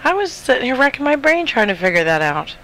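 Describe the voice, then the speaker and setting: A man's voice: very high voice, big pitch swings, fast talker, sharp tone. A man chatting casually as a co-host on an interview show. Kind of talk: casual chat. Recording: clear background noise.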